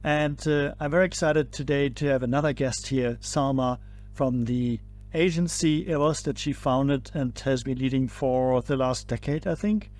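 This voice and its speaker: A man's voice: medium pitch, moderate pace, darkish tone. A man hosting a podcast, welcoming a guest and asking about her career with short, friendly interjections. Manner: friendly, brief encouraging interjections. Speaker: podcast host.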